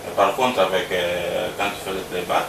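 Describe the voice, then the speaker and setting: A man from Congo, a musician, answering interview questions in a relaxed, halting way that repeats words. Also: sits low, gravelly. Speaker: musician guest. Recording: roomy sound from a distance with background noise.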